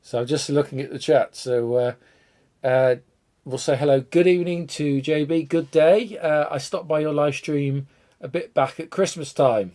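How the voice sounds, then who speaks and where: A man's voice: a little high, moderate pace, warm tone. Congested man chatting on a live stream. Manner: rambling, self-deprecating, thinks aloud while tinkering. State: congested from a cold, coughing. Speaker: retro computer channel host. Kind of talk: casual live stream chat.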